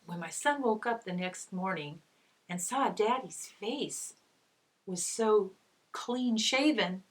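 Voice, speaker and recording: A woman's voice: mid-range voice, expressive, slow. A middle-aged woman reading aloud to children as a storyteller and teacher, using simple phrases and coaxing questions. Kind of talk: children's read-aloud with gentle guided discussion.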